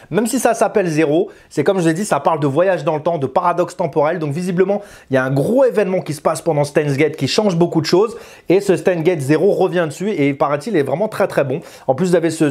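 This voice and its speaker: A man's voice: fairly high pitch, darkish tone. A man in his thirties giving a casual video game review to camera in an informal, chatty way, often repeating and correcting himself. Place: small indoor room with bookshelves. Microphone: lapel mic.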